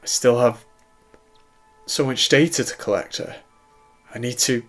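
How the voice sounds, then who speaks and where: A man's voice: medium pitch, lively intonation, a little slow, fairly bright tone, breathy edge, faint music behind. A man playing a manic, desperate physicist in a dramatic story reading, breathless and wailing. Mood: breathless despair. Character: manic, desperate male physicist obsessed with his discovery.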